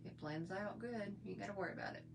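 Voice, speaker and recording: A middle-aged woman with a slightly hoarse voice, chatting in a folksy, rambling way while she shows her makeup routine. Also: mid-range voice, quick pace, background noise. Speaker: makeup vlogger. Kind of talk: casual makeup tutorial chat.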